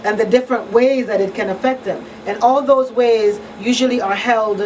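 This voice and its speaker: A woman hosts a live radio talk show in a fairly high voice. She chats with listeners from a small studio. The sound is slightly distant, with a light layer of background noise. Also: fairly even intonation, normal speed, a little mellow. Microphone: studio microphone on boom arm. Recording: off-mic room sound, faint noise.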